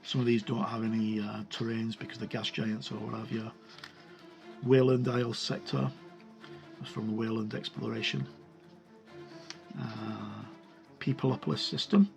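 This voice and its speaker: Male reviewer, fry-edged voice, informal unscripted page-by-page commentary, halting delivery, music bed underneath. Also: lowish voice, little pitch movement, darkish tone. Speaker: book reviewer. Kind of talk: casual unscripted review commentary while leafing through a book.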